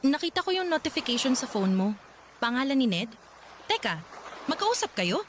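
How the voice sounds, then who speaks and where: A woman's voice: high-pitched, sing-song, normal speed, a little hiss or hum. A woman playing an uneasy, suspicious young woman in love in a radio drama, probing hesitantly with a Filipino accent. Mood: curious, suspicious.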